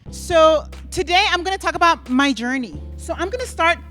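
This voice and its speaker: Woman born in El Salvador, keynote speaker, high voice, earnest storytelling. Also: expressive, moderate pace, fairly bright tone, soft background music. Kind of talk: personal keynote talk. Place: conference stage with audience.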